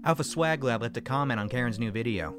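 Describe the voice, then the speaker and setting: A man's voice: medium pitch, quick pace, over music, lightly acted. A man playing an irritable, self-absorbed small-time video maker in a naturalistic audio drama, casual and grumbling. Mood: keen.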